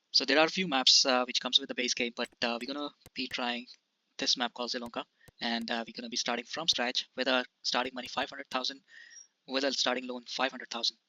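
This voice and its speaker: A man's voice: medium pitch, bright, forceful tone, rough recording. A man from India hosting his gaming channel, talking through a farming game casually with many hesitations and restarts. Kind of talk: casual gameplay commentary.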